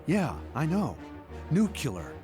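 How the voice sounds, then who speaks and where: An actor playing a self-important, stubborn scientist superhero with a pedantic manner in a comedic game scene. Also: male voice, a little high, wildly swooping pitch, takes it slowly, mellow, faint music behind, exaggerated voice. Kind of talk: comedic game cutscene acting.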